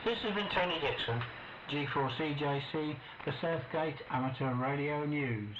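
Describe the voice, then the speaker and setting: A man's voice: fairly high pitch, normal speed. A man reading an amateur radio news bulletin in a chatty way with dry understatement. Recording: muffled echoey shortwave broadcast with static.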